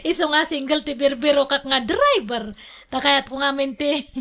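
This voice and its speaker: A rich, flirtatious boss lady in a radio drama. She speaks in a high, unhurried voice, coy and teasing as she shows off her generosity. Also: very animated, pushed and bright, heavily acted. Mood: flirty, teasing. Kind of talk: Ilocano radio drama acting.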